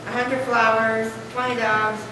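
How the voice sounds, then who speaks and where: A woman's voice: a little high, flat delivery, measured pace, close to an everyday voice. A young adolescent girl reading scripted narration as a student narrator in a school readers theater play. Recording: echoey distant stage recording, noisy.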